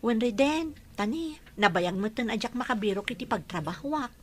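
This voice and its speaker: A woman's voice: on the high side, expressive, normal speed, husky. A woman playing a frank, friendly young job seeker in an Ilocano audio drama, candid and outspoken. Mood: friendly, grateful.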